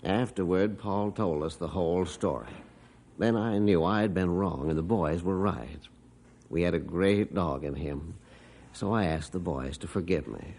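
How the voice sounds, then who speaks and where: A man's voice: low voice, measured pace, a little mellow, slight background noise. A reflective middle-aged father. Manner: reflective storytelling. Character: middle-aged father, gruff and warm-hearted. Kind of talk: 1950s radio drama acting.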